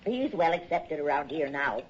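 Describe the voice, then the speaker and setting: An actress in a radio drama playing a motherly, folksy seaside landlady with a husky voice, on a dull recording. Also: low-pitched, sing-song, moderate pace, heavily acted. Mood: matter-of-fact, hospitable. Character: motherly small-town maritime landlady, folksy and coaxing.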